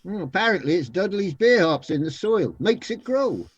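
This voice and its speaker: A man's voice: high-pitched, sing-song, moderate pace, husky, dry recording, lo-fi sound, exaggerated voice. A man playing a jokey, know-it-all pub regular with a lisp in a radio comedy. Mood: dry, challenging.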